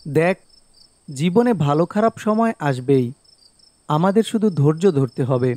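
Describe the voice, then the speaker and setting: A man's voice: fairly high pitch, lively intonation, normal speed, mellow, no room sound, lightly acted. A man playing an earnest young man, dry sound. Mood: downcast. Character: young village men, earnest, troubled friends. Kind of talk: amateur cartoon dialogue.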